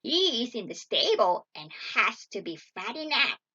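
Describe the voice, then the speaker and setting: A woman's voice: on the high side, very animated, measured pace, sharp tone, slightly rough, highs cut off, heavily acted. A woman voicing a mean old storybook witch, cruel and impatient, barking commands or coaxing with false sweetness. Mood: harsh, menacing.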